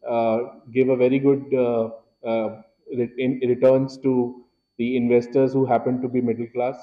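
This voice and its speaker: Flat-voiced Indian man at a podium. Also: medium pitch, lo-fi sound. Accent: Indian English. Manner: measured, many filler "uh"s. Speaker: keynote speaker. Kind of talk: formal speech at a podium. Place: large wood-paneled hall, indoors. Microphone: podium microphone.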